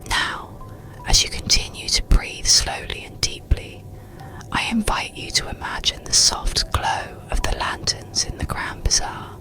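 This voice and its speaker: A narrator whispering a bedtime story and guided relaxation in a slow, gentle, soothing ASMR style. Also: faint music behind, rough recording.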